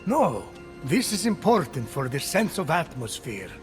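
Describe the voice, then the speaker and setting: An actor playing a grave, patient titan who narrates a game's tale in a measured, formal, dramatic way. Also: male voice, fairly high pitch, sing-song, over music. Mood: dry, pointed. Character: Prometheus, grave, patient male titan storyteller.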